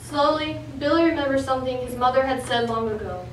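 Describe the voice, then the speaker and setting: High-pitched earnest storyteller, a young woman acting. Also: fairly quick, close to an everyday voice. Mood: solemn. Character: earnest storyteller of a tragic adventure tale. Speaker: narrator. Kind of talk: dramatic scripted stage narration. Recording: distant, echoey stage recording, faint noise.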